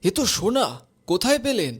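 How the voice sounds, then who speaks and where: A man's voice: high voice, very animated, a little fast, breathy edge, full character voice. A man playing a kindly, supportive village imam in a cartoon. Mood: surprised. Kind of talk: cartoon dialogue.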